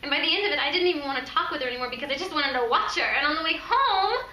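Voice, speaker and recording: A woman performing a monologue as a jilted, obsessive young woman confessing uneasily and haltingly, in a roomy recording. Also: sits high, expressive, sharp tone. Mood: fixated. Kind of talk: theatrical audition monologue. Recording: roomy, distant mic, faint noise.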